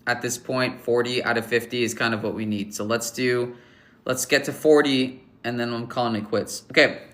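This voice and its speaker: Young guy on a live stream, bright punchy voice, blunt takes, lots of ums, jokes around with chat. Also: mid-range voice. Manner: blunt, offhand verdicts with many fillers; joking, teasing the chat. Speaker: livestream host rating viewers' photos.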